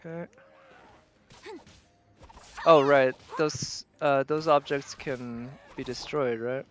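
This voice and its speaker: A woman giving casual livestream game commentary, thinking aloud in halting fragments as she plays. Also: extremely low pitch. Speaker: game streamer.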